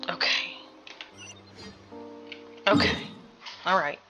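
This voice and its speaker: A woman giving casual game reaction commentary, creaky-voiced, analytical and sweary as she thinks aloud. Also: extremely slow, bright, forceful tone, faint music behind.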